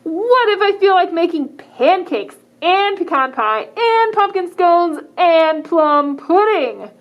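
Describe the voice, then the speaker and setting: A woman's voice: very high voice, expressive, slow, dull audio, voice pushed up, heavily acted. A woman voicing a cheerful, carefree pigeon who is different from the rest, light and easygoing.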